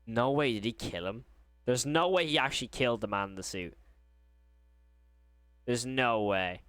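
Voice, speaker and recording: A man's voice: mid-range voice, moderate pace, a bit bright, clean tone, dry recording, studio quality. A man giving casual reaction commentary, informal and exclamatory, often repeating himself. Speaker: host of horror reaction channel.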